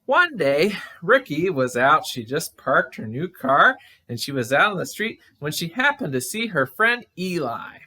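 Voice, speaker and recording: A woman narrating a puppet show story in an animated, children's storytelling style. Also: low-pitched, measured pace, hardly any pauses.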